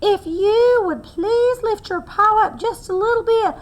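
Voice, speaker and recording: A woman voicing an excited, cheeky little male squirrel in a high, chirpy, squeaky voice. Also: unhurried. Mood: pleading.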